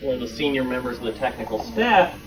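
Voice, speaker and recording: A man's voice: medium pitch, sing-song, quick pace, distant mic, low-quality recording. A man speaking informally and telling anecdotes at a show-and-tell. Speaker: club member presenting his collection. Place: club meeting room. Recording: recorded from off the mic.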